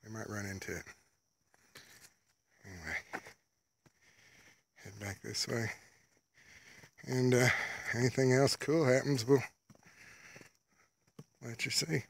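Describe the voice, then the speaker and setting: A man's voice: medium pitch, slow, gravelly, long pauses. A man narrating casually while hiking through a wooded ravine, rambling in a laid-back, folksy way, recorded on a handheld camera outdoors. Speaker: vlogger. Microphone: handheld camera while walking.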